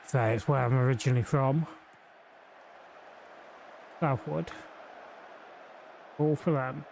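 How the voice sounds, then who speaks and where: A man's voice: mid-range voice, slow pace, creaky, a little mellow, a little hiss or hum. A man from Yorkshire streaming a football management game, chatting casually and thinking aloud with fillers and restarts. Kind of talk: live game commentary and chat.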